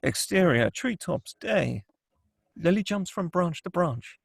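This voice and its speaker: A man with a husky voice reading scripted screenplay action as the narrator of a table read. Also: high voice, expressive, slow pace, dry recording, studio quality.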